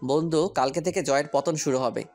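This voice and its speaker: A man's voice: on the high side, brisk, a little mellow, faint music behind, close to an everyday voice. A man playing a determined businessman hero in an audio story, speaking firmly and with purpose. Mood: friendly, resolved. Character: determined businessman hero, commanding boss, loyal friend.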